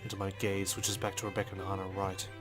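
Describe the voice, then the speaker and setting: A man reading visual novel text aloud as a let's player, in a measured, understated storytelling manner with brief asides. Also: low voice, completely flat delivery. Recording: music behind the voice. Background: game music.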